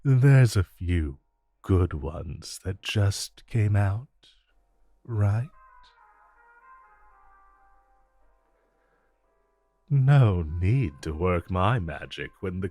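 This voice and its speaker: A man playing a suave, flirtatious supervillain in a romantic audio roleplay, talking in a smooth, teasing, unhurried way with drawn-out pauses. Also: fairly low pitch, a little mellow, dry recording. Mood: playful, flirty. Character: suave, flirtatious male supervillain visiting his tailor. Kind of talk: romantic audio roleplay acting addressed to the listener.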